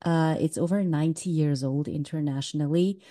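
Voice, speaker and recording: Woman, pretty low voice, warm and relaxed, laughs easy on a podcast. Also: normal speed. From Switzerland. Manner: chatty and candid, laughs easily. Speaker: guest. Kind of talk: casual interview talk.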